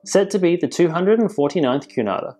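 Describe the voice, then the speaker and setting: A man presenting cruise industry news in measured, scripted voice-over narration. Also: a little high, expressive, warm tone, faint music behind. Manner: measured, informative delivery. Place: voice-over, not in pictured places.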